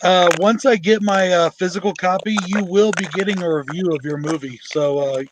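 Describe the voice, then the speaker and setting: A man's voice: high voice, moderate pace. A man hosting a live show, chatting in a blunt, joking way. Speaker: host. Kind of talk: casual live show chat. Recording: noisy live stream audio.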